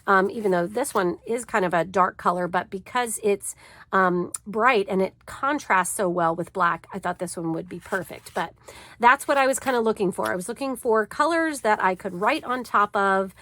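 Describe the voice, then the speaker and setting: A woman's voice: medium pitch, normal speed, a bit bright, no echo. A woman with a stuffy cold voice hosting a crafting channel, chatting in an easygoing way as she shows a planner layout. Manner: chatty and easygoing, with frequent fillers. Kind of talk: casual crafting tutorial chat.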